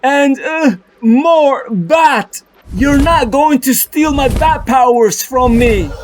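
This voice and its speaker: A man's voice: extremely high pitch, lively intonation, slight background noise, pitched above the performer's own voice, exaggerated voice, low-quality recording. A man voicing a proud, combative half-man half-bat creature in a comic-book dub. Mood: defiant rage. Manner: boastful, combative, halting outbursts. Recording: music bed under the voice.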